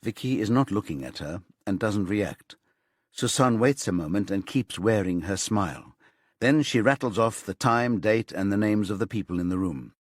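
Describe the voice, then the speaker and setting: A man narrating a crime thriller audiobook in a measured, suspenseful, scripted manner. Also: lowish voice, lively intonation, no room sound, studio quality.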